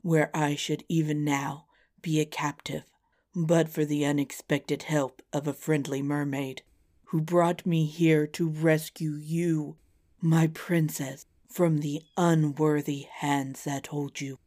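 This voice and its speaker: Woman voicing a slow, lovelorn, pleading king. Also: low-pitched, little pitch movement, mellow. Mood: earnest, devoted. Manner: earnest, drawn-out, melodramatic pleading. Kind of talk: storybook character voice.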